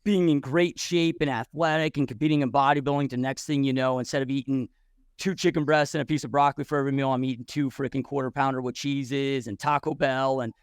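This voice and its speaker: A man's voice: medium pitch, fairly even intonation, fast talker, clean tone, no room sound, clean studio sound. A man in his forties, a podcast guest who tells his story bluntly and casually, swearing freely with many restarts and "dude"s.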